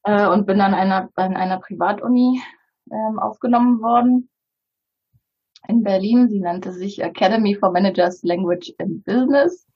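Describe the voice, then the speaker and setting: Woman, 30s, native Bulgarian speaker, husky and hesitant, muffled lo-fi line. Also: mid-range voice, moderate pace. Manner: hesitant, many fillers and restarts. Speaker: interview guest. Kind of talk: casual interview talk.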